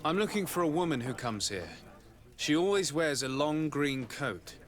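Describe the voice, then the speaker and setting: An actor playing a composed, courteous but pressing investigator in a video game. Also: male voice, on the high side, lively intonation, moderate pace, lightly acted. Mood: polite, probing.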